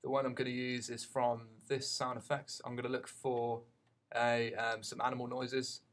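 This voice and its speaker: Flat-toned man teaching in a halting way. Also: mid-range voice. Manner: halting, thinking aloud. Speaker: media teacher giving a software tutorial. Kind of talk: unscripted tutorial narration.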